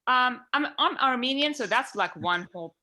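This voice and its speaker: Woman from Iran, podcast panelist, bright tone, heartfelt rambling talker on a video call. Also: sits high, animated, moderate pace. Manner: heartfelt, rambling with many fillers. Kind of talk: earnest discussion on a video call.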